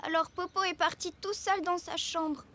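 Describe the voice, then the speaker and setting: A woman's voice: very high voice, fairly even intonation, normal speed. A woman voicing a cheerful, curious little boy bear cub in a children's cartoon dub, earnest and childlike. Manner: bright-spirited, childlike, earnest.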